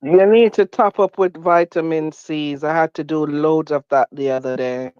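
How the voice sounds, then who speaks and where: A woman's voice: deep voice, fairly even intonation, moderate pace, a little mellow, clear, resonant tone, no room sound. A woman chatting casually as a remote guest on an online panel over a lo-fi voice call. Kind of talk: casual chat. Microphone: Discord voice call. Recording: dull lo-fi voice chat line.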